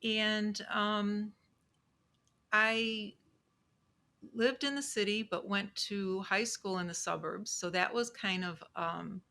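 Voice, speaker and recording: Chicago woman in her sixties, slow unhurried pace, bright tone, soft and measured, pausing often. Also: medium pitch, steady tone, creaky. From Chicago, USA. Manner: soft-spoken, measured, with many pauses and "um"s. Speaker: legal assistant and podcast guest. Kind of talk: casual interview chat.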